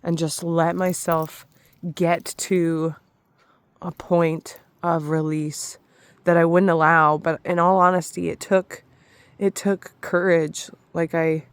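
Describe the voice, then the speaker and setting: A woman talking slowly and softly in a reflective spiritual vlog, pausing and restarting often, with a slightly raspy voice, alone in a forest. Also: on the low side, dry recording. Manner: soft, slow, hesitant, with many restarts. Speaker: spiritual vlogger.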